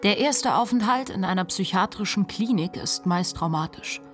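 An actress playing a sober, reflective narrator in a literary radio play, measured and articulate. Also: female voice, medium pitch, lively intonation, soft background music, close to an everyday voice. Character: sober, reflective narrator recalling the psychiatric ward.

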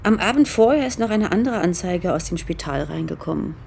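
An actress playing a thoughtful, matter-of-fact police investigator in a radio drama, with sober, dry delivery. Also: female voice, lowish voice, animated, fairly quick, creaky, a little mellow, husky, full character voice.

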